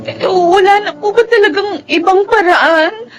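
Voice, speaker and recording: A woman's voice: very high-pitched, measured pace, faint music behind. A woman acting a troubled, earnest young college student in a Filipino radio drama, with a plaintive, emotive delivery. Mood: tearful, pleading.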